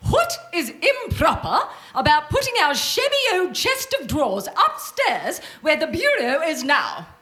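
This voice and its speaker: A woman's voice: sits high, huge pitch swings, unhurried, bright, forceful tone, full character voice. A woman acting in a live radio play as a bossy, grasping, self-pitying wife and mother with a nagging, theatrical delivery. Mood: scheming. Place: stage before a live audience.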